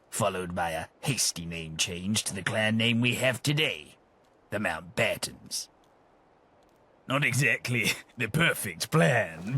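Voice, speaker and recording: Husky, pompous British gentleman. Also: sits low, exaggerated voice. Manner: pompous, rambling, old-fashioned politeness. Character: genteel, long-winded aristocratic British gentleman adventurer companion. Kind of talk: theatrical video game companion dialogue.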